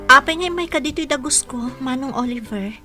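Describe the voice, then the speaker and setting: A woman's voice: high voice, normal speed, sharp tone, soft background music. A woman playing a meek, sorrowful young village daughter in a radio drama, speaking with an Ilocano accent. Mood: hurt, downcast.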